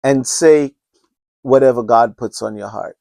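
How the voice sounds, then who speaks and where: A man's voice: medium pitch, measured pace, vocal fry, dark tone, no echo, studio quality. A man, speaking unscripted on his own podcast in an earnest, rambling way full of restarts and urgent appeals. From Trinidad and Tobago. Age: 50s. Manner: earnest, rambling, many restarts, preacher-like urging. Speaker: podcast host. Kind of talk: unscripted solo podcast talk.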